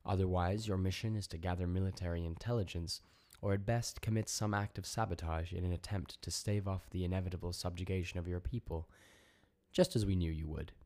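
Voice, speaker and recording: A man voicing a disembodied alien hive intelligence, speaking in a slow, cold, formal and imperious way for a story. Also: sits low, steady tone, a little mellow, lightly acted.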